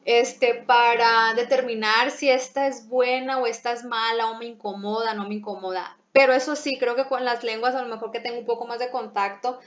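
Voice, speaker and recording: A young woman with a Mexican accent chatting as host, lively, laughing often and restarting her phrases. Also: fairly high pitch, moderate pace, hardly any pauses. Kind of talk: casual conversation. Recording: echoey room, dull lo-fi sound.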